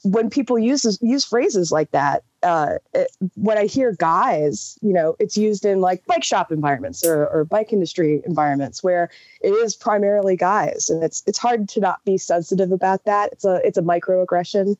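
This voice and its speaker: A woman's voice: mid-range voice, moderate pace, darkish tone, dry recording, dull audio. A woman from Wisconsin with an American accent, a panelist on a podcast call, talking earnestly with many fillers and restarts. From Wisconsin, US upper Midwest. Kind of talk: casual roundtable discussion. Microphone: call audio.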